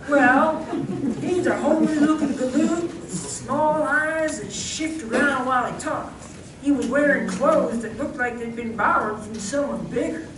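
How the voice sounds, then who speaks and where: A woman's voice: high-pitched, a little slow. A woman playing a folksy, hearty male ranch hand with a western drawl in an old-time radio show. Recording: echoey room from far off, faint noise.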